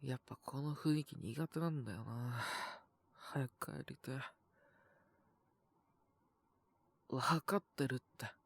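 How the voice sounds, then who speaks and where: Man acting as a gruff, sullen young wolf beastman. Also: mid-range voice, normal speed, a little mellow, dry recording. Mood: uneasy, grumbling. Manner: blunt rough speech, curt, grumbling. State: sick with a cough, acted. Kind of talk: Japanese audio roleplay voice acting.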